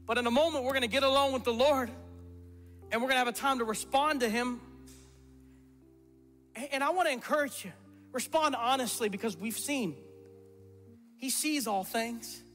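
A man's voice: very high voice, moderate pace, pauses often. A middle-aged man preaching a sermon as pastor, emphatic and repeating words for stress. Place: church stage before a congregation. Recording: reverberant hall with soft music.

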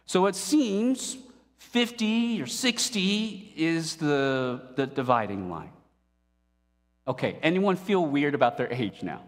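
A man in his forties preaching a sermon from a pulpit, animated and playful, asking the congregation questions. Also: on the high side, slow pace. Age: 40s. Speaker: pastor. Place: church stage at a pulpit, indoors. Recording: long reverb of a hall.

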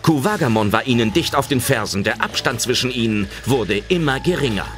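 A man's voice: medium pitch, lively intonation, fairly quick, over music, close to an everyday voice. A man narrating a German children's audio drama as a dramatic scripted storyteller.

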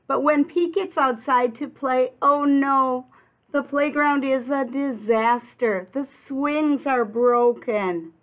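Slow-paced older American woman reading aloud. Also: high-pitched. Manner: expressive, deliberate, asks listeners tag questions. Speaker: teacher reading a picture book aloud. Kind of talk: children's read-aloud with explanatory asides. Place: classroom, indoors. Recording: muffled, lo-fi webcam-like sound.

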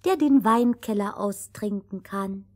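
A woman voicing a sympathetic young princess in a fairy tale, gentle and determined. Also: mid-range voice, a little slow, pitched below the performer's own voice, close to an everyday voice. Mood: pitying, tender.

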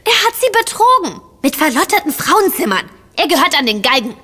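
A woman's voice: sits high, sing-song, fairly quick, bright, forceful tone, exaggerated voice. An actress playing a bitter, outspoken woman of a household in a radio play. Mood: indignant. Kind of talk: German radio play acting.

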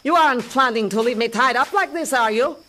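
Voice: mid-range voice, brisk, sharp tone, slight background noise, lightly acted. An actor playing a proud, vengeful female martial-arts assassin in a motion comic, formal and haughty. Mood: indignant. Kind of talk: motion comic villain acting.

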